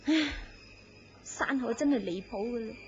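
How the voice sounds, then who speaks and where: An actress playing an affectionate married woman in a wistful secret romance, coy and expressive in Cantonese radio drama style. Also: a little high, unhurried, lightly acted. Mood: sad, aggrieved. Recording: dull lo-fi audio, loud background noise.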